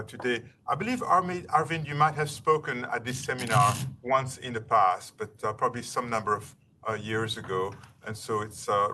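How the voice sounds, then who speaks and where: A man hosting a university seminar, introducing a guest speaker formally and courteously in a halting, wordy way. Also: medium pitch, sharp tone. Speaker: seminar host. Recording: distant mic, faint room noise.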